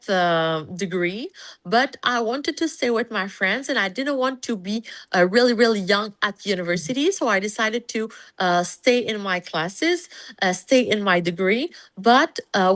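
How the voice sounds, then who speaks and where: A woman from Canada with a French Canadian accent, her first language French, talking as a podcast guest in a relaxed interview, often pausing and restarting as she looks for words. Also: mid-range voice. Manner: searching for words, many fillers and restarts. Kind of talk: conversational interview.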